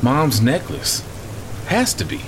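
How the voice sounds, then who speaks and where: A man's voice: on the high side, very animated, slow pace, creaky, noisy background, full character voice. An actor playing an angry, grieving, stubborn man in a storm-set audio drama, speaking curtly and bitterly. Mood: anguished. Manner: curt, bitter, swearing.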